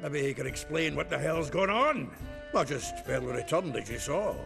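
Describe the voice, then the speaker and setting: A gravelly, creaky-voiced dwarf warrior in a fantasy game, with a very animated pitch that jumps around. He is brash, hearty and boisterous, a colorful talker. Faint music sits behind the voice. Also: moderate pace. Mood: grumbling, exasperated. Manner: boisterous, colloquial, colorful cursing. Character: brash, hearty male dwarf warrior, foul-mouthed and loyal. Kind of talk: video game cutscene acting.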